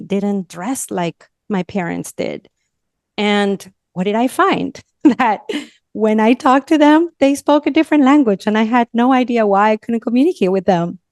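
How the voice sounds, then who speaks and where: Argentine woman with a smooth voice. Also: medium pitch, darkish tone, no room sound, clean studio sound. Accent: Argentine Spanish. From northern Argentina. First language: Spanish. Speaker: guest, communication coach. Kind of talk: podcast interview.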